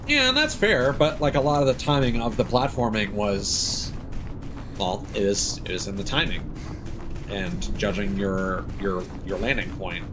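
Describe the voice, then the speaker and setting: A man's voice: lowish voice, lively intonation, unhurried, music in the background. A man doing casual gaming commentary as a live streamer, rambling and opinionated as he thinks aloud. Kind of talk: casual gaming commentary, chatting while playing.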